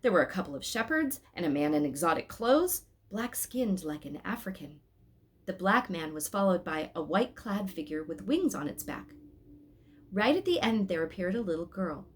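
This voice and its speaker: A woman's voice: on the low side, moderate pace. A woman reading a story aloud expressively, in an informal way, with little asides to her listeners. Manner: animated, addresses listeners with asides.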